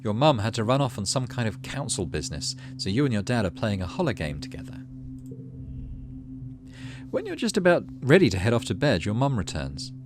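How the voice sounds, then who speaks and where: A man's voice: medium pitch, big pitch swings, fairly quick, background noise. A man hosting a game playthrough, reading story text aloud expressively and adding casual asides. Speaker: gaming channel host.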